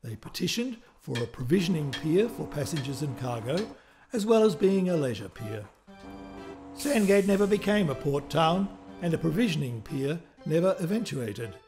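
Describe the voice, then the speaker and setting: Higher-pitched male documentary narrator. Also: very animated, normal speed, over music. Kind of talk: scripted historical narration.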